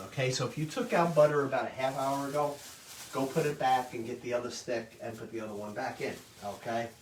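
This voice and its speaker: A middle-aged man teaching a live online baking class, talking loudly and chattily and checking in with "okay?" all the time. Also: mid-range voice, a little hiss or hum. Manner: loud, chatty, says "okay?" often. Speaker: cooking instructor. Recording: roomy kitchen sound, mic at a distance.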